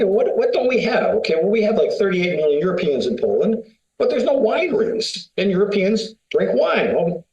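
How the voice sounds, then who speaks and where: A middle-aged man with a high, mellow voice talks in loose, rambling stories, stacking fillers and asides as he goes. The sound is roomy and echoey, as if recorded in a bare room. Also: dull audio. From United States. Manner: rambling, story-telling, many fillers. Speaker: winery founder, guest. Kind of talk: casual interview talk.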